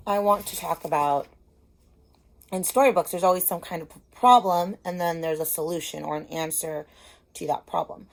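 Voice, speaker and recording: A woman's voice: lowish voice, gravelly, a little mellow. A woman teacher giving a casual lesson to children, explaining simply and checking in with short questions.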